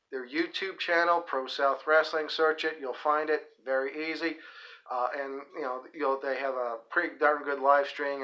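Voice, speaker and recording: A man's voice: a little high, sharp tone, poor audio. A man hosting a casual solo report on upcoming wrestling shows, rambling with fillers, restarts and folksy asides. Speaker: host of a wrestling events report.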